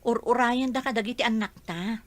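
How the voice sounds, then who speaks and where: A woman's voice: on the high side, brisk, no echo, close to an everyday voice. An actress playing a suspicious, neglected wife and mother in a radio drama, with a plaintive, pressing delivery. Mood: reproachful.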